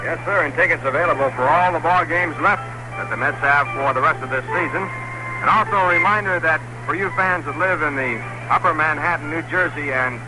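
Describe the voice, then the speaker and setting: A man's voice: on the high side, low-quality recording. A man reading a friendly, informative promotional announcement during a 1960s baseball radio broadcast. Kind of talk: live-read promotional announcement. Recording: vintage radio broadcast with music.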